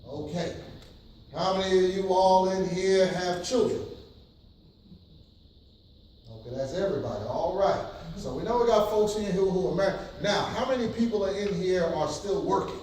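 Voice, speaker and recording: A man's voice: high-pitched, normal speed, darkish tone, a little hiss or hum. A man from New York City, a pastor giving a casual church workshop to an audience, with many ums and frequent questions to the room. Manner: hesitant, many ums, audience call-and-response. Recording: echoey hall from far off.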